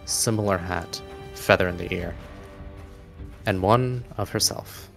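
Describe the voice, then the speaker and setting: A man narrating a tabletop game as game master in a dramatic, deliberate style, pausing mid-sentence for suspense. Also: deep voice, vocal fry, faint music behind.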